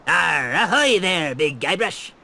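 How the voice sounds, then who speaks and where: A man voicing a gruff pirate villain hand puppet with a gravelly voice, bickering childishly in a comedy video game. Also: fairly high pitch, very animated, takes it slowly, pushed and bright, exaggerated voice. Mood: gruff, taunting. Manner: blustering, childish name-calling.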